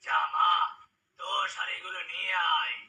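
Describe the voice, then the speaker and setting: A man's voice: high voice, moderate pace, gravelly, bright, forceful tone, far from the mic, heavily acted. A man voicing a domineering, sly fake holy man in a cartoon, commanding and pompous. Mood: pompous, reassuring. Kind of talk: cartoon character dialogue.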